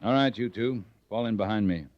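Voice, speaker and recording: An actor playing a stern, unflappable cavalry captain in an old radio western, terse and authoritative. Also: male voice, on the low side, brisk, slight background noise, lightly acted. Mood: commanding. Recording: dull vintage radio recording.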